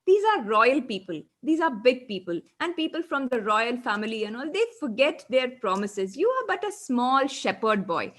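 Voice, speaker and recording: A woman's voice: on the high side, very animated, normal speed, hardly any pauses, a bit bright. A woman voicing a grumpy, protective male tree spirit who scolds and mocks. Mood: warning. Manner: scolding, sulking, sarcastic.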